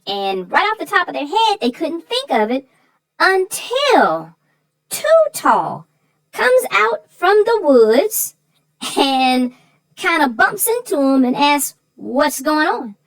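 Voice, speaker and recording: A woman's voice: high voice, big pitch swings, slow pace, low-quality recording. A woman co-host talking over a children's story and giving advice, earnest and repeating words for emphasis. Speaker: co-host of a children's read-aloud show. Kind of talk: conversational story discussion and safety advice.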